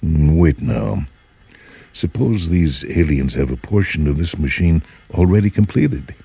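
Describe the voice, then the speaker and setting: A man's voice: a bass voice, expressive, unhurried, vocal fry, mellow, husky, dry recording, exaggerated voice. A man voicing a broke, anxious male writer in a story, hesitant and muttering as he thinks aloud. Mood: excited brainstorming.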